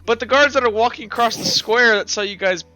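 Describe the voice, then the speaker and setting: A man running a tabletop game, improvising narration in a halting, casual, slangy way. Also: very high-pitched, bright, forceful tone. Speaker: game master. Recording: muffled, music behind. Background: background music.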